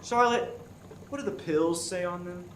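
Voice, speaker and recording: A man playing an earnest, dramatic FBI agent solving a murder in a comic radio play, recorded in an echoey room with background noise. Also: sits high. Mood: explaining. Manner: deliberate, dramatic deducing. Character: one-eyed male FBI agent turned earnest, dramatic sleuth. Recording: roomy, echoey stage with clear background noise.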